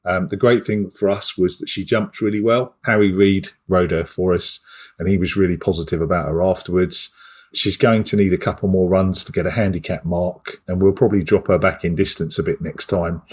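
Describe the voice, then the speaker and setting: Croaky, husky man co-hosting. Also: sits low, steady tone, brisk, darkish tone. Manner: hesitant, many ums and uhs. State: croaky from a cough. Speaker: podcast co-host. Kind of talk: casual podcast chat.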